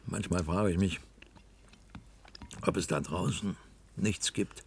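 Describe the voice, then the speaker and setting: An actor playing a weary, brooding middle-aged worker confined underground, musing with resignation in a science-fiction radio drama, his voice gravelly and husky. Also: deep voice, takes it slowly, exaggerated voice. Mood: brooding, resigned. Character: brooding, weary male worker confined to a cellar control room.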